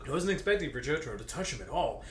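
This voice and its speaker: Lively, giggly man in an echoey room. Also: medium pitch, very fast. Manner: giggly, stammering, playfully dramatic. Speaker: co-host reading fan fiction aloud. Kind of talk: jokey read-aloud with banter. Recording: roomy echo, clear background noise.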